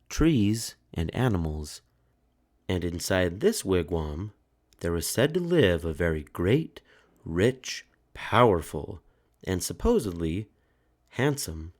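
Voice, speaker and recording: Low voiced guy reading a kids book, slow and dramatic w suspense pauses. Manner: measured, expressive, with pauses for suspense. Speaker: children's storyteller. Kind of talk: dramatic picture-book read-aloud.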